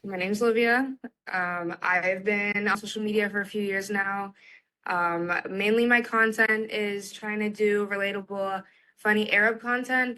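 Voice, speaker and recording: A woman's voice: medium pitch, pushed and bright, poor audio. A woman answering interview questions in casual chat, as a content creator guest. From United States and Egypt.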